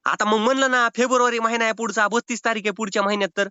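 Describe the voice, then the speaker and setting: A man's voice: high-pitched, steady tone, racing, bright, forceful tone, smooth, no echo. A man playing a cheeky, evasive rural Maharashtrian borrower with a whiny, argumentative way of stalling in a comic phone call. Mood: mock-earnest. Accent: rural Maharashtrian Marathi. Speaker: prank caller playing a loan defaulter. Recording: slightly dull phone-call audio.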